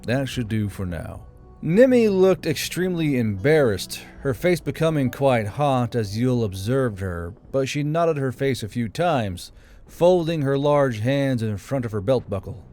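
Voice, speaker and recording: A man's voice: medium pitch, animated, a little slow, warm tone, faint music behind. A man reading scripted fantasy fiction aloud as an audiobook narrator.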